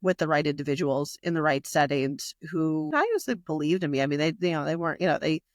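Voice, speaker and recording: A woman's voice: deep voice, expressive, fast, dry recording, studio quality. A woman guest in a casual podcast interview, talking in a rambling way with many fillers and restarts. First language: not Italian. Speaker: magazine publisher and guest.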